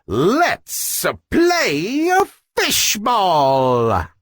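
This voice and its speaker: Guilty, scheming young cartoon guppy boy, acted by a man, high and very animated, for a bedtime story. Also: drawn-out words, no echo, clean studio sound, heavily acted. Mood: excited.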